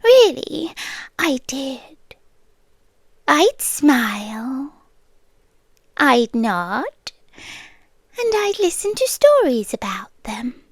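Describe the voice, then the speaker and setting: British lady doing a yandere immortal girlfriend for asmr, high breathy voice, super slow, sweetly menacing. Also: sing-song, no room sound, full character voice. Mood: wistful menace. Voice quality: breathy, often whispered. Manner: slow, deliberate, pausing between short phrases. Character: possessive, centuries-old inhuman girlfriend, sweetly menacing.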